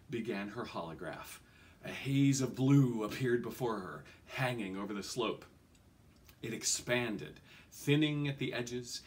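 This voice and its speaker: A man in his forties reads fantasy fiction aloud with very lively, swooping intonation. His delivery is theatrical and expressive, like a storyteller, at a middling pitch. He is recorded in a small room at home. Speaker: reader. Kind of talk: expressive audiobook-style reading of a fantasy novel.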